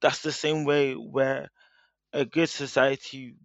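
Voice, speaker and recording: Measured man explaining. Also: mid-range voice, fairly even intonation, gravelly, dry recording, lo-fi sound. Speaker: guest speaker. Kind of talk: interview, explaining at length.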